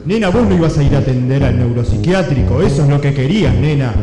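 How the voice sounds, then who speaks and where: A man's voice: medium pitch, expressive, quick pace, natural voice. A man playing an emotional, pushy Argentine father in improvised comic radio theatre, insistent and parroting phrases. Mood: flustered, insistent. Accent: Argentine Spanish. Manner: echoes his wife, insistent. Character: emotional, pushy, flustered father of a grown daughter. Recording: echoey hall with music.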